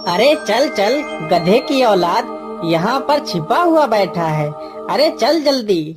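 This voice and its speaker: A woman's voice: a little high, sing-song, slow pace, music in the background. A woman voicing a timid village farmer man in a cartoon, with a fretful, wailing manner. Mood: impatient. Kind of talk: Hindi cartoon story dialogue.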